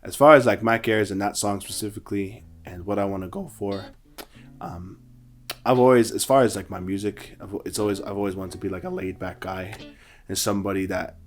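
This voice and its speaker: Relaxed, hesitant young man, somewhat low. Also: fairly quick. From New Zealand. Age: 20s. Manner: relaxed, hesitant, many ums and likes. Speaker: musician and producer. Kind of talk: casual self-introduction.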